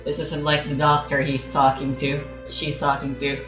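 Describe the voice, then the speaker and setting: Low, flat-toned woman in a roomy space. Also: moderate pace. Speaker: game streamer. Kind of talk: casual commentary over game. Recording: roomy echo, clear game music behind. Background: video game music.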